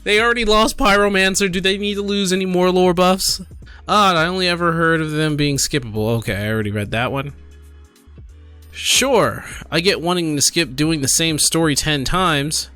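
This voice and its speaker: A man's voice: a little high, moderate pace, sharp tone, clean tone, soft background music. A man giving casual, exasperated gaming commentary while reading posts aloud, repeating himself for emphasis. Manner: exasperated, incredulous, emphatic with repetition. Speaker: gaming streamer and host.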